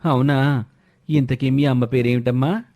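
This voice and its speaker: A man's voice: medium pitch, fairly even intonation, unhurried, mellow, natural voice. A man voicing a concerned, kindly and inquisitive villager in a moral story. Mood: curious. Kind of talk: Telugu moral story character acting.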